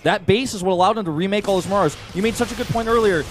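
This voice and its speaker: A young man casting a strategy game live, excitable and rising sharply when the fighting gets big. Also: sits high, rapid-fire. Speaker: esports caster. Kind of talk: play-by-play commentary. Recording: commentary over loud game music.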